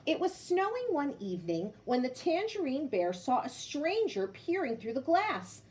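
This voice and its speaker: Expressive woman reading a children's story. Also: sits high, moderate pace. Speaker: storybook reader. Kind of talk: expressive children's read-aloud.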